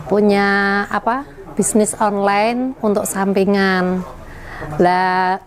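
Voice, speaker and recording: A woman from Kediri giving a halting, unrehearsed testimonial as an employee. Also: fairly high pitch. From Kediri, East Java, Indonesia.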